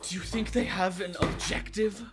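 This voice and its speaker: A woman's voice: medium pitch, fairly even intonation, normal speed, loud music behind the voice, natural voice. A woman playing a haunted, frightened and snappish paranormal investigator in an audio horror drama.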